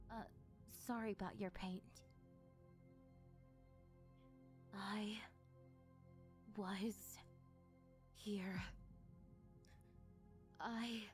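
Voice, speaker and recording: A woman voicing a lonely, needy female sleep paralysis demon in an intimate ASMR roleplay, hesitant and soft-spoken. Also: medium pitch. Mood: halting, anxious.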